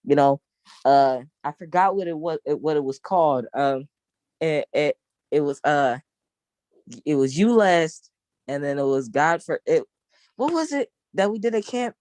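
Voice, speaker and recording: A young man, president of a youth council, speaking casually on a video call in an easygoing, halting way. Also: a little high, animated, a little mellow, no echo. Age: young adult. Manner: easygoing, halting, with many fillers and restarts.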